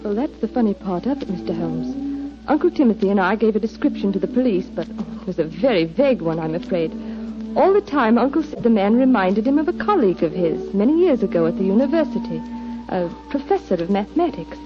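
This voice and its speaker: A woman's voice: medium pitch, very animated, quick pace, lightly acted. An actress playing a genteel, anxious young lady client, polite and earnest, in 1940s radio drama style. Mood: earnest, worried. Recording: dull 1940s radio, music behind.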